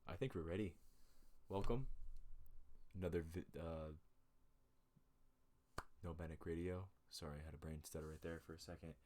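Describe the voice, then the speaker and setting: A man's voice: deep voice, normal speed, pauses often, dark tone, a little hiss or hum. A young man hosting a casual home podcast, rambling with lots of fillers and self-corrections into a desk mic. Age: young adult. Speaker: podcast host. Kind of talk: relaxed casual chat. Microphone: USB desk mic with headphones.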